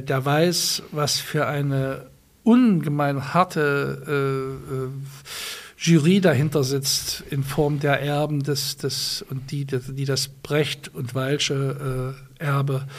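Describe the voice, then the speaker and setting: A man's voice: mid-range voice, fairly even intonation, takes it slowly. A male musician and guitarist being interviewed, speaking thoughtfully in his native German with many restarts and fillers. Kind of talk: conversational interview.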